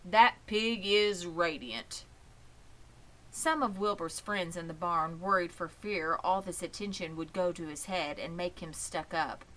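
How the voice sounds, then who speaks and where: A woman narrating a children's story in an expressive, measured way. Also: fairly low pitch, poor audio. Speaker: audiobook reader.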